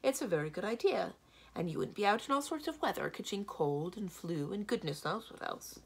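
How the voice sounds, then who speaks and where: A woman's voice: medium pitch, expressive, a little fast, creaky, breathy edge. Woman as scolding, no-nonsense giantess wife. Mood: approving. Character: bossy, practical giantess wife, fond and scolding.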